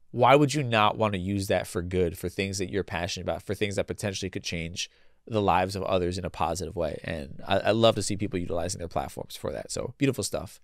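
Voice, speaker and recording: A male podcast host, speaking casually and bluntly, a bit congested and hoarse from a cold. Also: low-pitched, no echo, studio quality. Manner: blunt and casual, swears freely, many restarts. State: congested with a cold, losing his voice. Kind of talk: solo opinion commentary.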